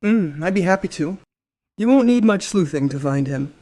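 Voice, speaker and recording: An actress playing a spiteful, gossipy middle-aged wife in a crime radio play, scornful and sarcastic. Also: female voice, on the low side, expressive, normal speed, warm tone. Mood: sardonic.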